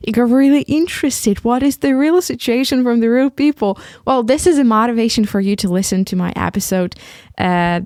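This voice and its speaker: Earnest Ukrainian woman, smooth close voice. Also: mid-range voice, a little mellow, no echo. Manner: hesitant, many restarts, earnest. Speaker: solo podcast host. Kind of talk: casual solo podcast monologue.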